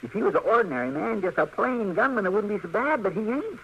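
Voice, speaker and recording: An actor playing an excitable, loyal frontier townsman with a folksy rural Western drawl in an old-time radio western. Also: sits high, fast talker, gravelly, dull audio, a little hiss or hum, heavily acted. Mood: urgent. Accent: rural American Western.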